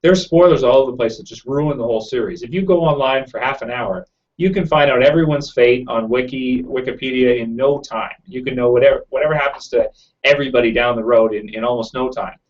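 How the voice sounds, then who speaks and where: A man hosting a casual live chat from home, answering viewer questions quickly with lots of fillers, his throat a bit raw. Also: medium pitch, lo-fi sound. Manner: fast-talking, rambling with many fillers. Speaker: YouTube channel host.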